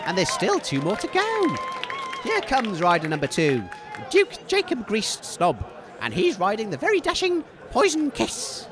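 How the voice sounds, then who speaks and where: A man playing an excitable, easily flustered radio sports commentator in a comedy, with a gushing, theatrical delivery. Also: very high-pitched, measured pace, heavily acted. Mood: gushing delight. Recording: crowd noise behind the voice.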